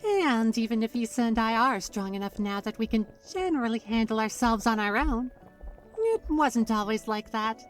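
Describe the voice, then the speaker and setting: A woman's voice: on the high side, animated, moderate pace, recorded from a distance, faint music behind, natural voice. A woman playing a playful, proud young witch who talks in a teasing, theatrical way in a fantasy audio drama. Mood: chatty, reminiscing. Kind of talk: fantasy audio roleplay acting.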